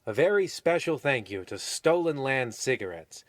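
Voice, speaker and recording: A man's voice: mid-range voice, big pitch swings, moderate pace, dry recording, lightly acted. A man playing an arch, mock-ominous host narrating a parody old-time radio mystery in formal tones. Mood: wry, ominous. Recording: noisy recording with clicks.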